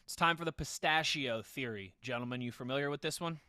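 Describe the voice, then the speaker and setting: A man hosts a sports podcast with a crisp, clean voice. His delivery is conversational and enthusiastic, and the sound is very dry, with no room around it. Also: medium pitch, fairly quick, pushed and bright, dry recording. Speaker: podcast host.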